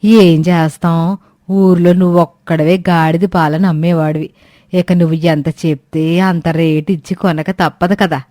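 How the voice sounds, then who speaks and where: A low, dry-sounding old village woman, played by a female storyteller, grumbling and arguing in a moral fairy tale. She is demanding and always ready to complain. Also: little pitch movement, normal speed, dark tone, no room sound, close to an everyday voice. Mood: protesting. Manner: complaining, argumentative.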